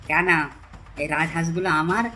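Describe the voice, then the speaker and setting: A man's voice: fairly high pitch, fairly even intonation, a little fast, sharp tone, soft background music. A man voicing a greedy, cowardly village thief in a cartoon, prone to repeat himself nervously. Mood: defensive, bargaining. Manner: stammering, repeating words when nervous. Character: greedy, sneaky village thief Raghu, male, cowardly and pleading. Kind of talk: cartoon voice acting.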